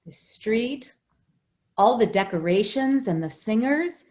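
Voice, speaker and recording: Woman playing a slow, expressive, protective housekeeper. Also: a little high. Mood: wistful, tender. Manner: hesitant, soothing, tender. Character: gentle, anxious, protective housekeeper looking after a child. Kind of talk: old-time radio drama acting.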